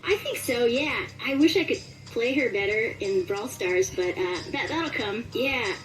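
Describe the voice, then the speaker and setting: A woman's voice: fairly high pitch, expressive, unhurried, recorded from a distance, soft background music, low-quality recording. Woman chatting slightly away from the mic. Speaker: voice actor being interviewed. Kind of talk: casual chat.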